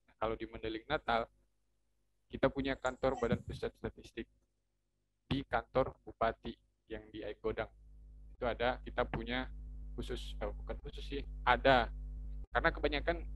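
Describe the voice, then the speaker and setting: A young man with a Medan-style Indonesian accent talks in a relaxed, chatty way, often restarting his sentences. His voice is bright and sits in a middle pitch. A faint hum runs underneath the recording. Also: low-quality recording. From North Sumatra, Indonesia. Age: young adult. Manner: chatty, explaining with many fillers and restarts. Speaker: alumni speaker. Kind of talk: casual informational talk.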